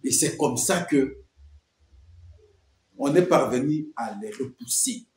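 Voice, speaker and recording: A man's voice: medium pitch, expressive, slow pace, a little mellow, reverberant. A man giving emphatic political commentary, full of rhetorical questions and repeated phrases.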